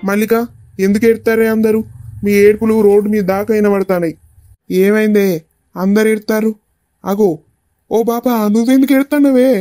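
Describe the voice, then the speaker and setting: A man's voice: sits high, fairly even intonation, normal speed, long pauses, mellow, soft background music, close to an everyday voice. A man playing a hot-headed, protective village son in a family drama, speaking bluntly with a Telangana Telugu accent. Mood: alarmed concern. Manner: blunt, agitated questioning.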